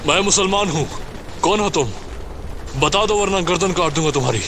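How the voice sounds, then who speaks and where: A man's voice: high voice, normal speed, noisy background. An actor playing a loyal, deferential male servant on a refugee trek in an audio drama. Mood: wary. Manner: deferential, addresses his leader respectfully. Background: music score.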